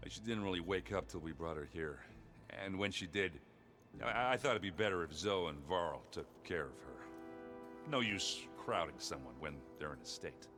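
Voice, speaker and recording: A big warrior from a video game with a deep, low voice. He is blustery, folksy and awkwardly earnest. Music plays loudly behind him. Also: expressive, normal speed. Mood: easygoing, earnest. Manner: blustery, folksy, plain-spoken. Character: big rough-edged good-natured warrior man, awkward and earnest. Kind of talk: video game character dialogue.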